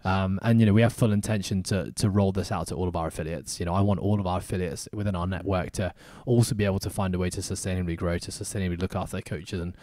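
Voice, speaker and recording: A man hosting a podcast, talking in a chatty, upbeat way with joking asides. His voice sits a little low and sounds mellow and warm. The sound is dry and close, with no room echo. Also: steady tone, quick pace, few pauses. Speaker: podcast host. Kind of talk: casual podcast interview. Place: podcast studio in Hong Kong.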